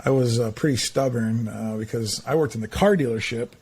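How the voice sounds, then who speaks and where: A man's voice: medium pitch, a little fast, a little mellow, a little hiss or hum. A man in his forties hosting a podcast, chatting casually and often tossing in filler tags to check in with his guest. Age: 40s. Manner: chatty, leans on "right?" and "like". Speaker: podcast host. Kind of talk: casual interview chat.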